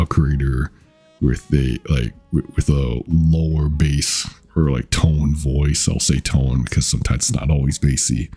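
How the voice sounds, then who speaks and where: A young man with a deep voice, chatting casually with his stream viewers in a rambling, halting way, with lots of fillers, casual swearing and frequent laughs. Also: mellow, husky. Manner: rambling, halting, with many fillers and self-corrections. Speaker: VTuber streamer.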